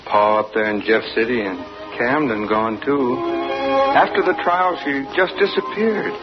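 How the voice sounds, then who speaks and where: An actor playing an earnest young farm son with plain rural speech, in 1940s radio acting style. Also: male voice, mid-range voice, measured pace, close to an everyday voice. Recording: muffled old radio recording with music.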